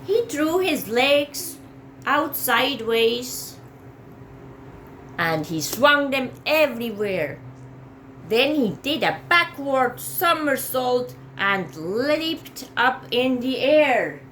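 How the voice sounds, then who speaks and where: Very slow, animated woman reading a storybook. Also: sits high, slight background noise. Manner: slow, dramatic phrasing for young children. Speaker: parent reading a picture book aloud. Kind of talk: expressive children's storybook read-aloud.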